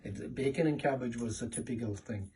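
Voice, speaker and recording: Middle-aged man, Northern Irish accent, casual reminiscing, halting phrasing, distant mic, background noise. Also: medium pitch, warm tone. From Northern Ireland. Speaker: interviewee, father. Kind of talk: casual family interview, reminiscing.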